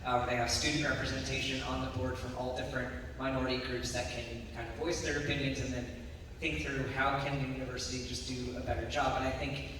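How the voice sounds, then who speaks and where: A man on a panel giving measured, practical advice, with some fillers. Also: mid-range voice, little pitch movement, background noise. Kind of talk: casual Q&A talk. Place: lecture room with audience. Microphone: phone camera at a distance. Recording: echoey hall, phone from far off.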